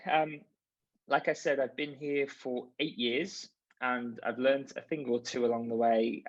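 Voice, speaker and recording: A man, a university chaplain giving a friendly, chatty, encouraging welcome talk over a video call. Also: mid-range voice. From Liverpool, England. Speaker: university chaplain and events coordinator. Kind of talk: informal online welcome talk. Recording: dull lo-fi video call audio.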